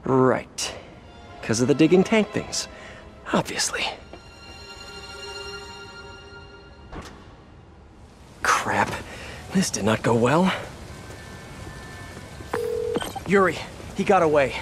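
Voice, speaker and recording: An actor playing a young masked superhero in a video game, earnest, self-critical and given to wisecracks muttered to himself. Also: male voice, a little high, lively intonation, a little slow, long pauses. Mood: rueful, frustrated. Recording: loud game music and effects behind.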